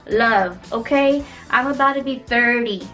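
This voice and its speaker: A 29-year-old woman from Kenya chatting casually as a vlogger, in a playful, emphatic and animated conversational way. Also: a little high, a little slow, faint music behind.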